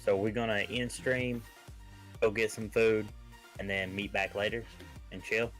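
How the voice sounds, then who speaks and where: Kentucky guy on a game stream, lowish voice, chill chat, faint music. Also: flat delivery, measured pace, a bit bright. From Kentucky, United States. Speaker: stream co-player. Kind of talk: casual chat. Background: background music.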